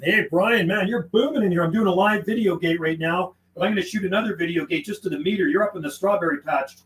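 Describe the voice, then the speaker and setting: A man's voice: high voice, fast talker, a bit bright, reverberant, poor audio. A man chatting casually and slangily on a livestream as a radio operator, mixing in call signs and phonetic codes. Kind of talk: casual livestream chat mixed with radio calling. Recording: clear background noise.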